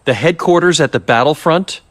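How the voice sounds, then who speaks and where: An actor playing a genial, sly middle-aged scientist in a video game, talking in a smooth, professorial, theatrical way. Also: male voice, a little high, brisk, close to an everyday voice. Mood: sly persuasion. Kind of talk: video game cutscene acting, expository dialogue.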